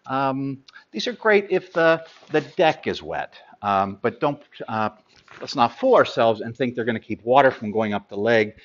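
A middle-aged man talks through sailing gear in a practical, unhurried way, filling pauses with ums and uhs. His voice sits at an ordinary pitch. The studio recording is slightly dull. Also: expressive. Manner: practical, hands-on, many ums and uhs while handling gear. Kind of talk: product explainer.

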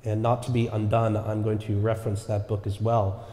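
Man, pastor, earnest sermon, mellow warm tone, long reverb, church echo. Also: on the low side, flat delivery, normal speed. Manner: earnest preaching with repeated rhetorical "right?" asides. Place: church sanctuary.

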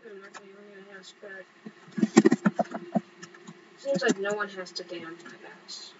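Young girl talking thru her game, chatty, mid pitch, muffled cheap mic. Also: steady tone, unhurried. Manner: chatty, talks to herself and other players. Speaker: gamer narrating her play. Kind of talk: casual gameplay commentary. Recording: dull lo-fi mic with clear background hum.

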